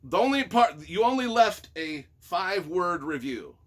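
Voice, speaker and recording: Man, high-pitched bright voice, casual review reading, expressive, wry reactions, self-deprecating. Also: unhurried. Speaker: author and YouTuber.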